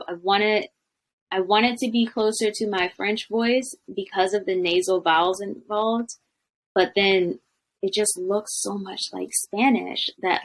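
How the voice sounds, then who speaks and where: A woman's voice: mid-range voice, a little hiss or hum, poor audio. Chatty young woman on a video call. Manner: chatty, many fillers, laughs often. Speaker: language coach, guest. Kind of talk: casual interview chat. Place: home room with bookshelves.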